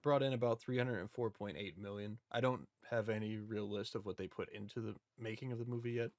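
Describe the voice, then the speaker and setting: A man's voice: on the low side, brisk, a little mellow, no room sound, studio quality. A man chatting casually and informally as a podcast co-host. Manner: informal, self-described history nerd. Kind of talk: casual chat about video game movies.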